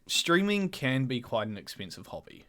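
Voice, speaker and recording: Animated young guy, middle-pitched, casual. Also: normal speed. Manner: animated, swears, mock voices. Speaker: podcast co-host. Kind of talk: casual chat.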